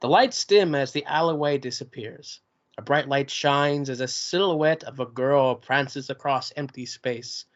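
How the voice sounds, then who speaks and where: A man's voice: mid-range voice, measured pace. Man plainly reading stage directions. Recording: dull lo-fi recording.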